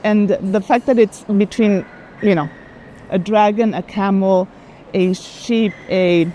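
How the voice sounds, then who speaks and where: A woman in casual conversation as a guest at an art fair, telling stories in an animated way with emphatic pauses. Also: medium pitch. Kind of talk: casual chat. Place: art fair, walking tour. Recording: noisy busy fair hall ambience.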